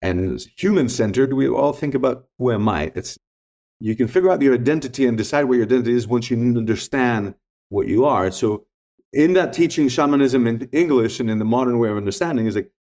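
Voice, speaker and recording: A man's voice: medium pitch, brisk, a little mellow. A middle-aged man whose first language is Greek, a podcast guest telling stories and reflecting in an earnest, emphatic way. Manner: earnest, emphatic, repeats key points. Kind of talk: reflective conversational storytelling.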